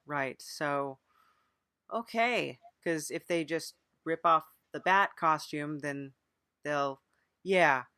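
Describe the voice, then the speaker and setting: A woman's voice: sits low, slow, sharp tone, clear, resonant tone, dry recording, clean studio sound. A woman hosting an improvised mock interview, agreeable and a little hesitant, playing it straight in casual conversation. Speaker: podcast interviewer.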